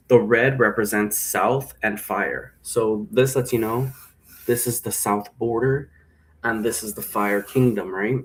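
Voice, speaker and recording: A man's voice: mid-range voice, slightly rough, rough recording. A man explaining a role-play game in a casual, improvised, rambling way, often checking for agreement. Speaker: game creator explaining rules.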